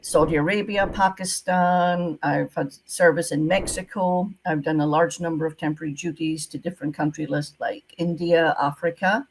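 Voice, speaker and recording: Scottish lady on a video call, kinda husky, low voice, repeats her advice hard. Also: poor audio. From Scotland. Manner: emphatic, repeats key advice. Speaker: guest, former visa officer. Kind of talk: interview over video call.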